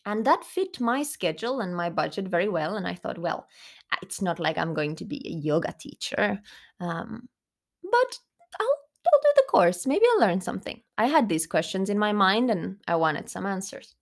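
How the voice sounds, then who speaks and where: A young woman co-hosting a podcast, telling her story in a thoughtful, unhurried way with many fillers and restarts. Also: medium pitch, sing-song. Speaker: podcast co-host. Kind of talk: reflective personal storytelling.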